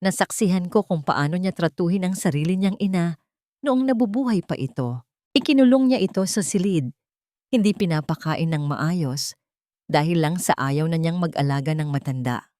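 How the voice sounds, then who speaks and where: A woman narrator acts out an elderly housemaid in her sixties from an audio storybook. The voice is low and confiding, carrying bitterness and secrets. The sound is dry. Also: lively intonation, moderate pace, no echo, lightly acted. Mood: grave confiding. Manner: hushed, confiding. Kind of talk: audio storybook character dialogue.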